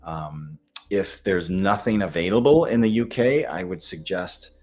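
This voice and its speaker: An American man from the Midwest giving informal question-and-answer health advice as a doctor, in a halting way with pauses between phrases. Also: low voice, lively intonation, darkish tone.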